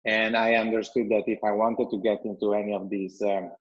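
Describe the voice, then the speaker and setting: A man with an Italian accent talks in a low, even voice with little rise and fall, pausing on "um" and restarting his sentences. The sound is dull and lo-fi, like video call audio from a bright room. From Italy. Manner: measured, with frequent restarts and "um". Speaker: guest, company founder. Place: bright room at home with a window.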